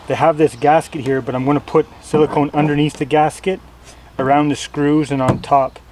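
A man's voice: fairly high pitch, a little mellow, slight background noise. A man casually talking through a do-it-yourself job outdoors in a plain, loose way, with fillers and self-corrections. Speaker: DIY instructor. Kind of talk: casual how-to explanation. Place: outdoors on a lawn.

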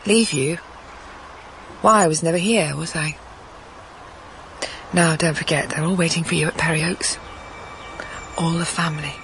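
A woman playing an enigmatic, teasing phantom from a man's past in a radio drama, soft and knowing. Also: sits low, fairly even intonation, moderate pace, long pauses, slight background noise. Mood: knowing, tender. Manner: soft, knowing, at times near whisper. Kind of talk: intimate radio drama acting.

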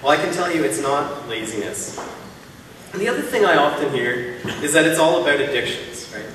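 A man's voice: a little high, expressive, brisk, a bit bright. A man in his thirties giving a keynote speech from a podium, earnest and persuasive, building his points in rhythmic lists. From Canada. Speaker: keynote speaker. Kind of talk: public speech. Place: large hall, at a podium on stage. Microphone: podium mic, filmed from far off. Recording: echoey hall from far off, room noise.

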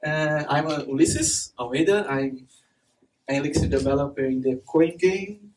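A man's voice: fairly high pitch, normal speed, a little mellow. A young man from Brazil with a Brazilian Portuguese accent, giving a chatty conference talk full of fillers and laughs. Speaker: conference speaker. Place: dark event hall with stage and projector. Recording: distant mic with faint noise.

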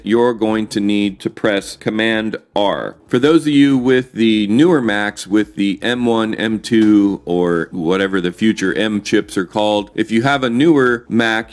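A middle-aged man hosting a tech tutorial, walking through steps in a clear, upbeat, chatty way, recorded in a living room. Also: medium pitch. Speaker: tech video host. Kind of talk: step-by-step tutorial narration.

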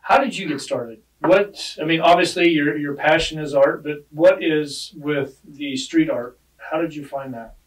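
A man's voice: on the high side, measured pace, hardly any pauses, far from the mic, low-quality recording. A middle-aged man hosting a podcast, asking questions in a friendly, chatty, self-deprecating way in a studio. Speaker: podcast host. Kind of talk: casual interview chat. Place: studio with dark padded walls. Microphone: podcast mic on a desk arm.

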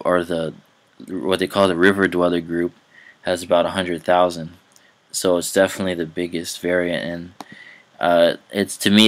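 A young man giving a casual, halting explanation over a screen recording, full of fillers and restarts. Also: deep voice. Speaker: language hobbyist vlogger.

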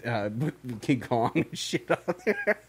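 Male podcast co-host, loose and profane, animated delivery, laughs often. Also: mid-range voice, slow pace, slightly rough. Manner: profane, rambling, laughs a lot. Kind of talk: casual chat.

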